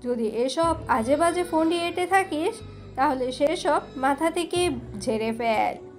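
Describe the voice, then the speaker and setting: High-voiced firm elder sister played by woman. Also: a little mellow. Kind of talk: audio story acting.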